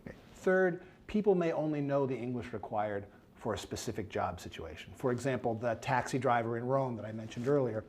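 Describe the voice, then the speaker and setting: A man's voice: mid-range voice, expressive, brisk, hardly any pauses, darkish tone, a little hiss or hum. A man giving a scripted academic lecture in an explanatory way, putting rhetorical check questions to his listeners.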